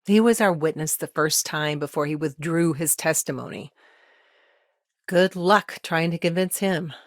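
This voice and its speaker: A woman playing a pragmatic, guarded lawyer in a video game, speaking in a measured, matter-of-fact way with dry wryness. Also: deep voice, no room sound. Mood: businesslike. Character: businesslike, wry female lawyer, pragmatic and guarded. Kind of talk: scripted game dialogue, conversational.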